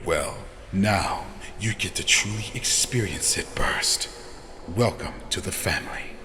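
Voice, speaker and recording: A man playing a composed, reassuring elder in an audio drama, with a measured and authoritative way of speaking. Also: deep voice, expressive, pushed and bright, heavily acted. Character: composed, reassuring male elder of a hive-mind family. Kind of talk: scripted fan audio drama acting. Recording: reverberant hall, faint noise.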